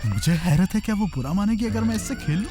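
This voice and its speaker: An actor playing a goofy, curious, careless young boy in a cartoon dub, by turns hesitant and excitable. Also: mid-range voice, quick pace, close to an everyday voice. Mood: excited wonder. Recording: music behind the voice.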